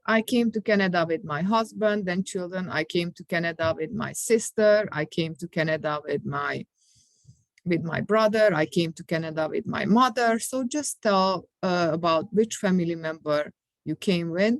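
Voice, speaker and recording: A middle-aged woman teaching English to adult learners, speaking slowly and patiently and repeating simple phrases. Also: lowish voice, no room sound. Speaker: ESL teacher. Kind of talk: classroom instruction recorded for students.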